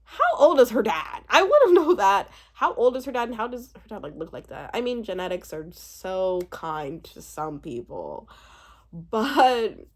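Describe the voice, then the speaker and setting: A woman in her twenties talks in a very lively, swinging voice at a middling pitch. She gives a casual, chatty recap as a host, rambling along and often dropping a thought halfway to start another. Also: measured pace. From born in Uganda, spent part of childhood there. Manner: animated, rambling, breaking off mid-thought. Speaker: YouTube host reviewing a reality show.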